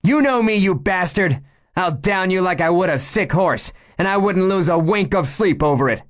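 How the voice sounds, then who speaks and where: A man's voice: high-pitched, lively intonation, moderate pace, pitched above the performer's own voice. A man voicing a tough, fearless woman deputy who speaks in hard, clipped threats. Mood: menacing.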